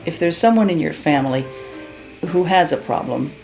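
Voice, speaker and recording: A woman's voice: low-pitched, lively intonation, moderate pace, creaky, a little mellow, soft background music. A middle-aged woman from Cuba giving an earnest, heartfelt testimonial as a patient, with many fillers and restarts. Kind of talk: unscripted testimonial.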